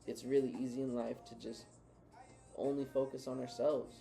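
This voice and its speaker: A man's voice: medium pitch, little pitch movement, normal speed, creaky, warm tone, soft background music. A man co-hosting a casual talk, reflecting earnestly and giving advice. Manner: earnest, advising. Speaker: co-host.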